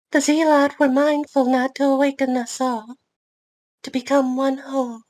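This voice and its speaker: A woman's voice: high-pitched, a little mellow, no room sound, low-quality recording. A woman playing a solemn mystical speaker for a collective in an amateur fantasy table read.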